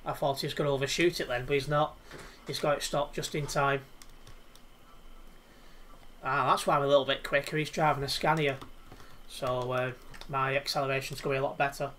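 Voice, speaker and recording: A man's voice: medium pitch, flat delivery, a little fast, pauses often, fairly bright tone, a little hiss or hum. Chatty young English man streaming a game. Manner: chatty, easygoing, many restarts. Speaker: livestreaming gamer. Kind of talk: casual chat while playing. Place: indoors at home, in front of a computer. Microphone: headset.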